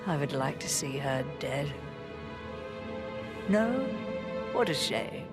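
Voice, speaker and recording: Old aristocratic woman, creaky voice, imperious, blunt, deliberate, video game drama, heavy score behind. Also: very deep voice, animated, full character voice. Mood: composed, imperious. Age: older. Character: cold, imperious old aristocratic matriarch of a manor. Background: loud cinematic music.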